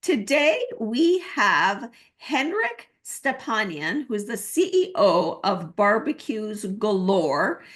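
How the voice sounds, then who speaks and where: A woman's voice: mid-range voice, very animated, slow, hardly any pauses, fairly bright tone. Woman, podcast host, mid-range voice, enthusiastic intro.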